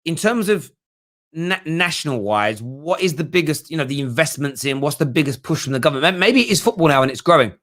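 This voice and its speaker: Emphatic, bright-voiced football host. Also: male voice, on the high side, animated, brisk. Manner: blunt, emphatic, repeats himself, rhetorical questions. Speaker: host of a football fan livestream. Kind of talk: casual live fan talk, reading out viewer comments.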